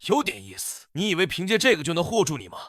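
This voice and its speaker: An actor playing a menacing assassin who serves a master, with theatrical delivery in an animated drama. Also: male voice, fairly high pitch, fast, no room sound, clean studio sound. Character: menacing male assassin, deferential to his master. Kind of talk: animated motion-comic drama acting. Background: loud music.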